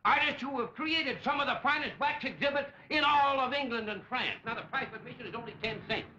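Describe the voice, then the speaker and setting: Slick carnival barker, very high sharp voice, showy patter, muffled old film sound. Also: male voice, a little fast, exaggerated voice. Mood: showy salesmanship. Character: slick carnival barker outside a wax museum. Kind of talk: 1940s film serial acting. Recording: dull, faintly noisy 1940s film soundtrack.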